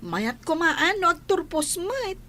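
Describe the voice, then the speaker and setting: A woman's voice: extremely high pitch, big pitch swings, slow, sharp tone, heavily acted. A woman playing a young schoolgirl, a daughter in a radio drama. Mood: matter-of-fact. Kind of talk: Ilocano radio drama acting.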